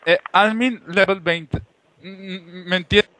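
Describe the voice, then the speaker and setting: A man playing an insistent, boastful foreign gamer on a prank call, halting and repetitive, with a put-on Moroccan accent. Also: high-pitched, steady tone, bright, forceful tone, no room sound, full character voice. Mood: pushy, boastful.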